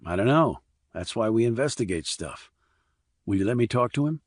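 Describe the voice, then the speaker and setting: A low-pitched, terse military investigator, acted by a male audiobook narrator, speaks in clipped, direct questions and keeps probing. The sound is dry and close. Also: lively intonation, normal speed, dry recording. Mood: probing, matter-of-fact. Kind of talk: audiobook character dialogue.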